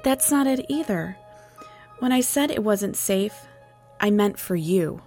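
A woman's voice: mid-range voice, expressive, unhurried, darkish tone, faint music behind, close to an everyday voice. A woman voicing a guarded, troubled young telepath in an audiobook, speaking tersely and earnestly. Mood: earnest, uneasy. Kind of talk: audiobook character dialogue.

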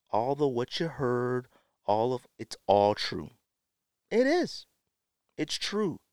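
A man's voice: on the high side, no echo, clean studio sound. A middle-aged man hosting a podcast in a casual, opinionated monologue, speaking emphatically and repeating phrases for effect. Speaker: podcast host.

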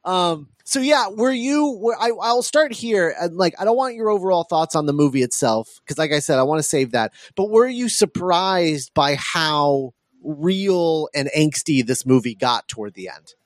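A man with a fairly high voice talks through films as a podcast co-host. He circles back and restarts phrases as he goes, in a casual, halting manner. The sound is close and dry. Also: expressive, no echo. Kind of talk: casual film discussion.

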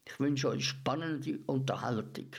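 A man's voice: medium pitch, measured pace, gravelly, husky. A man with a Swiss German accent hosting a radio programme, giving a scripted introduction. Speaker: radio host.

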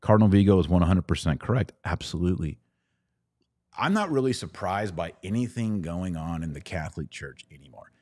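A man's voice: deep voice, animated, a little fast, mellow, no room sound, studio quality. An American man hosting a casual livestream, chatting informally with playful slang as he answers viewers. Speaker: podcast host.

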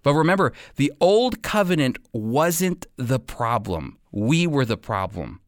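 Expressive male radio Bible teacher, emphatic delivery. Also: medium pitch, no echo, studio quality. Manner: emphatic, deliberate, with weighty pauses. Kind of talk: radio sermon and commentary.

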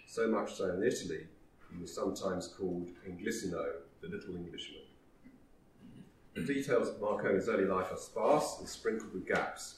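A man giving a historical talk, telling the story in a measured way. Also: deep voice, a little hiss or hum. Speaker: lecturer. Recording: roomy echo, distant mic.

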